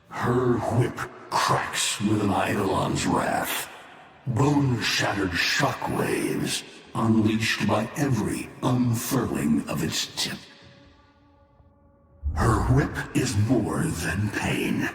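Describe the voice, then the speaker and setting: Ancient bitter warlord, cavernous echo. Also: male voice, lowish voice, lively intonation, heavily acted. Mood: grave, ominous. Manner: theatrical, slow, menacing, drawn-out delivery. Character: bitter, ancient fallen warlord, mocking and grandiose. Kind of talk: dramatic video game voice acting. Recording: cavernous echoing reverb, faint ambient noise.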